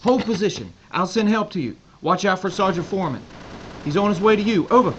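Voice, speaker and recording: An actor playing a hard, determined American army major giving clipped orders in a World War II audio drama. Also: male voice, sits high, lively intonation, a little fast, muffled recording, a little hiss or hum. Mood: urgent. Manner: commanding, clipped military radio orders.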